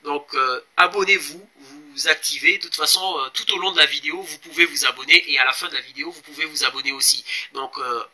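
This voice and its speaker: A man in his forties from Congo, explaining a fitness challenge in a casual vlog, pausing often with fillers and urging viewers to join. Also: a little high, pushed and bright. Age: 40s. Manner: hesitant, many pauses and fillers, urging viewers. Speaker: fitness vlogger.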